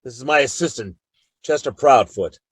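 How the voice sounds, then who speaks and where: An actor playing a calm, authoritative Old West marshal with an American accent and a measured, firm delivery in a radio drama. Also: male voice, mid-range voice, noisy background, natural voice. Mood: official. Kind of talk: Western radio drama acting.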